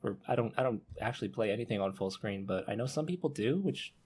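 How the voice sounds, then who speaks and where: A man's voice: on the low side, expressive, quick pace, darkish tone, husky. A man talking casually on a podcast, conversational and given to acting out what people might say.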